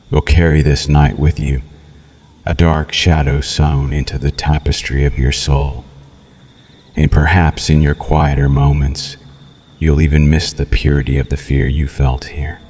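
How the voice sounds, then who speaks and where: A man's voice: a bass voice, darkish tone. A man playing a cruel, sadistic vampire captor in an ASMR roleplay, menacing and mocking in a theatrical way. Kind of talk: ASMR roleplay, scripted villain monologue.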